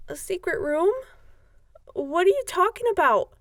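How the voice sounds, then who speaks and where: A woman's voice: extremely high pitch, expressive, normal speed, a bit bright, no echo, clean studio sound. A woman playing a bewildered, innocent secretary in a radio drama.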